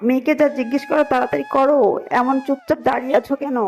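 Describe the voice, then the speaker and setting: A woman's voice: sits high, level tone, very fast, gravelly. A woman voicing a greedy, haughty old mother-in-law in a cartoon, brusque and scornful. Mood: haughty, demanding. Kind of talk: Bengali moral-story cartoon dialogue.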